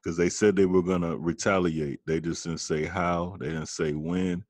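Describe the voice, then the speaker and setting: A man's voice: sits low, steady tone, normal speed, darkish tone, no echo. A man co-hosting a podcast, asking questions and giving blunt opinions in casual chat.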